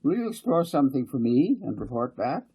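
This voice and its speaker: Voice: medium pitch, very animated, brisk, warm tone, husky, voice pushed up, low-quality recording. A man voicing a hearty, bossy father in an audiobook story. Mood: hearty, commanding.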